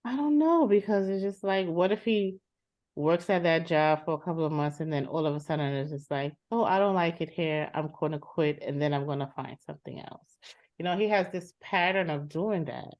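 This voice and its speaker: In a dull, lo-fi recording, a woman plays a fed-up adult sister in a therapy dramatization. Her voice sits on the low side, and she talks bluntly and insistently, exasperated with her brother. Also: moderate pace, darkish tone, close to an everyday voice. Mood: weary, distrustful. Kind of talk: dramatized therapy session, conversational. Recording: dull lo-fi sound.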